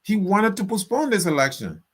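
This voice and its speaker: A man's voice: high-pitched, very animated, normal speed, breathy edge. A man with a Liberian accent giving impassioned political commentary as a guest, indignant and emphatic, repeating his phrases for force.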